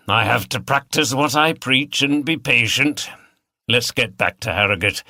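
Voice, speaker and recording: A man's voice: medium pitch, pushed and bright, dry recording, studio quality. A man voicing a tired, good-humoured older male detective with a mild, self-deprecating manner in an audiobook. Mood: cheerful.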